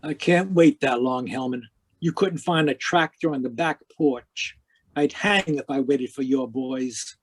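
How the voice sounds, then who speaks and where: A man playing a hard-boiled, wisecracking private investigator in a 1940s-style radio noir drama, deadpan, clipped and sardonic. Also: mid-range voice, a little slow, breathy edge, no room sound. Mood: sardonic, defiant. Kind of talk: 1940s radio noir acting with first-person narration. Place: live remote video-call performance.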